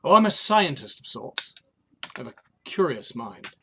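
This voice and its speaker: An actor playing a courtly, sinister old man of science at a dramatic table read, speaking formally and deliberately. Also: medium pitch, sing-song, pushed and bright. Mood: sly. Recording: noisy, slightly dull recording with clicks.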